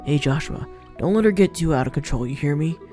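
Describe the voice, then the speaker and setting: A woman voicing a gruff, teasing young male fighter from a fantasy game, blunt and grudging in manner. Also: low-pitched, expressive, a little fast, music in the background.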